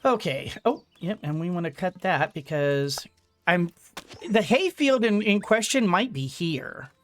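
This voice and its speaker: Woman, gaming commentary, gentle, thinking aloud, slightly gunky throat, faint room noise. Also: lowish voice, expressive, measured pace, faint music behind. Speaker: gaming YouTuber. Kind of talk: let's-play commentary.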